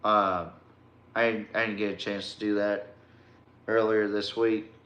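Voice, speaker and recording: A man's voice: fairly low pitch, level tone, unhurried, a bit bright, muffled recording. A man from Texas hosting a sports podcast, talking casually to viewers in an informal, slangy, folksy way. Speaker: podcast host. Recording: roomy, echoey sound.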